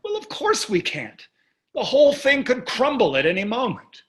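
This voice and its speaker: A man's voice: very high-pitched, huge pitch swings, normal speed, a bit bright, heavily acted. An older man playing a wealthy, glib and dismissive husband and father in a staged play reading. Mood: exasperated.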